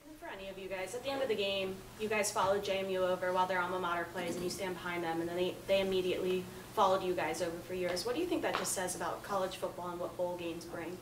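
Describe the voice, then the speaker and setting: A woman's voice: fairly low pitch, steady tone, fast, hardly any pauses. A woman asking a reporter's question at a press conference. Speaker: sports reporter. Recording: echoey, distant, noisy room.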